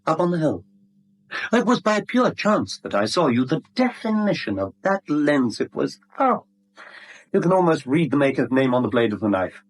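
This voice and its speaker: A genial blackmailer in a seventies radio play. His delivery swoops with relish, and the voice has a slightly husky edge. He is suave and wordy, teasing his victim with mock courtesy. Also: on the high side, very animated, moderate pace, low-quality recording. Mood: gloating, amused. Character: smug, genial, middle-aged male blackmailer, mockingly courteous. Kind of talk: 1970s radio drama acting.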